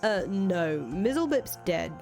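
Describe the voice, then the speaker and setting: A woman's voice: mid-range voice, sing-song, takes it slowly, darkish tone. A woman playing a cynical, foul-mouthed ousted rebel leader in a visual novel, thinking aloud in casual, profane speech. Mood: wry, brooding. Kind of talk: acted reading of visual novel dialogue. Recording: music under the voice.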